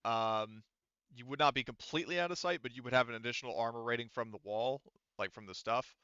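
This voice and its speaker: A man with a bright, smooth voice works as a game master, talking players through a tabletop game. He explains rules casually and thinks aloud, in a close, dry recording that sounds slightly dull. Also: mid-range voice, fast, dry recording.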